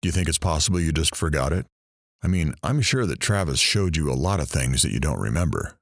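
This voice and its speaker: A man performing an extremely low, calm voice that probes and questions in an audio drama. The sound is dry and close. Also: fairly quick, gravelly, dry recording, heavily acted. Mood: probing.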